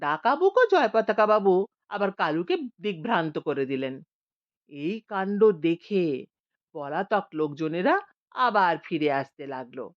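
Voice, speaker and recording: A woman narrating a comic story expressively, with theatrical emphasis and dramatic pauses. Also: lowish voice, big pitch swings, lo-fi sound.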